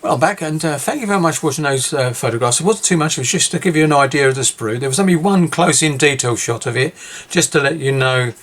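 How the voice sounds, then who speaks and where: A man's voice: on the high side, fast talker, few pauses, a bit bright. Rambling British man reviewing a model kit. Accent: British English. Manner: rambling, self-correcting, chatty. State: losing his voice. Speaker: scale model builder. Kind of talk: casual kit review video.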